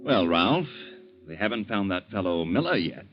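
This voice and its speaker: Slyly musing bank teller, very animated pitch. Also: male voice, moderate pace, music in the background. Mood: musing, probing. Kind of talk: 1940s radio acting. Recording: dull, lo-fi 1940s radio recording.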